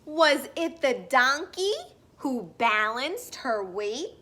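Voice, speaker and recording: A woman reading a children's picture book aloud expressively, in a sing-song way, pausing to put questions to young listeners. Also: high voice, big pitch swings, pushed and bright.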